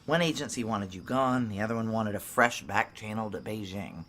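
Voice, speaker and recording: A woman's voice: extremely low pitch, normal speed, a bit bright, slight background noise. Blunt, world-weary tough woman. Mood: matter-of-fact. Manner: blunt, salty, terse. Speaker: lead actor and narrator in an audio drama. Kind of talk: noir audio drama acting with intimate first-person narration.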